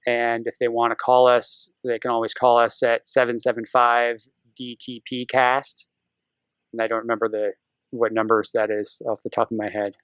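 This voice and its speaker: A man's voice: mid-range voice, flat delivery, moderate pace, a bit bright, smooth, no room sound, muffled recording. A man speaking casually as a podcast guest, telling stories in a rambling, jokey way. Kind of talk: casual conversational interview.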